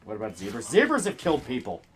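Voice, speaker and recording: A man's voice: medium pitch, huge pitch swings, quick pace, a bit bright, faint music behind. A man co-hosting a game stream, trading laid-back, wry banter. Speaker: stream co-host. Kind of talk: casual game stream chat.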